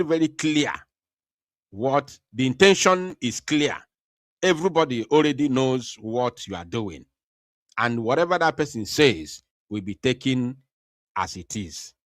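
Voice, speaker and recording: A man hosts a call-in talk show from his computer, his mid-pitched voice dry and close with almost no room sound. He presses his points earnestly, circling back to repeat them and pausing on fillers as he gathers his thoughts. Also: animated, dry recording. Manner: earnest, repeats himself, many hesitations. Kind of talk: live online talk show commentary. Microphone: computer mic over internet call.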